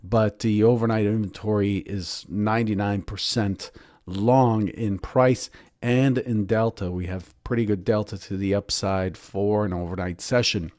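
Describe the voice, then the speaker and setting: A man, a professional trader and show host, giving daily market analysis in a hoarse voice, clearing his throat often. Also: on the low side, a little slow, no echo, studio quality. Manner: steady analytical talk with frequent throat clearing.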